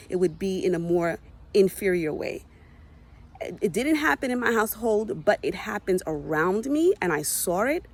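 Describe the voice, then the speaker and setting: A woman's voice: mid-range voice, gravelly, darkish tone, slightly rough. A woman whose first language is Haitian Creole, speaking into her phone with an earnest, impassioned message that builds emphasis as she repeats key points. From United States. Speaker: mother addressing her community. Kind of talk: earnest personal message to camera.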